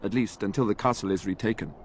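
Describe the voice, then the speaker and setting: Actor voicing a solemn, devout knight. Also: male voice, on the low side, quick pace, slight background noise, natural voice. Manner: formal, solemn.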